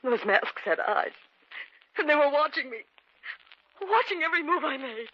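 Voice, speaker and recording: An actress in an old radio drama playing a frightened young wife who stammers in fear. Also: female voice, sits high, very animated, moderate pace, no room sound. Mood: hysterical. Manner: stammering, fearful. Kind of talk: 1940s radio acting. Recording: muffled old radio recording with music.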